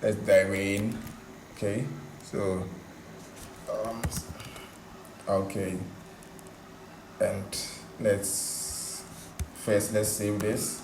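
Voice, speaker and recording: Low-voiced man in an echoey room. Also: little pitch movement, drawn-out words, pauses often. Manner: informal, conversational, repeats himself for emphasis. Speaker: host of a coding tutorial. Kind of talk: casual tutorial commentary. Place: indoor room, voice over screen recording. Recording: echoey room, background hiss.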